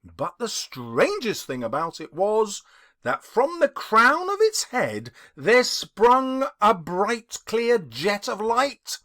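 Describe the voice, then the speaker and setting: A man's voice: high voice, wildly swooping pitch, takes it slowly, sharp tone. A man reading a literary story as dramatic narration, theatrical and emphatic in delivery. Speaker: audiobook reader, teacher.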